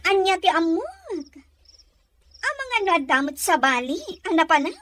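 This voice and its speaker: Woman doing a naggy suspicious filipina lady in a radio drama, high voice, very animated, pushy. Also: a little slow, heavily acted. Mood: jealous, scolding.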